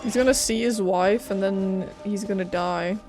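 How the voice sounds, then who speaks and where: A woman's voice: mid-range voice, moderate pace. A woman doing casual live reaction commentary as a game streamer, blunt, exclamatory and sarcastic. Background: game music.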